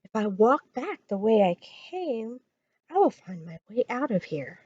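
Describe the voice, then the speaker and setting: Woman reading as a brave little boy dinosaur, high-voiced. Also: very animated, unhurried, a little mellow, dull audio, slight background noise. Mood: scared but brave. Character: tiny young male dinosaur, wondering and brave. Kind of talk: children's storybook read-aloud.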